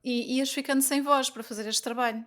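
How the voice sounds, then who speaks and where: Quick-talking Portuguese woman interviewer. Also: high-pitched, steady tone, fairly bright tone. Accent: European Portuguese. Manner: friendly prompting interviewer, quick backchannels. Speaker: podcast host. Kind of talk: casual interview chat.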